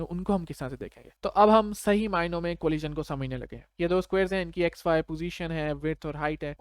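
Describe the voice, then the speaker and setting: A Pakistani man speaks as a tutor in a slightly high voice. He explains things step by step in an informal, conversational way, often adding short check-in questions. The sound is close and dry, with very little room. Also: smooth, no echo. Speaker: programming tutor.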